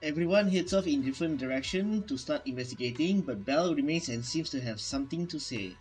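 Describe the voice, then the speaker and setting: A man's voice: a little high, expressive, fairly quick, darkish tone, faint music behind. A man giving casual commentary as he reacts to a video game story. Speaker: gaming reaction host.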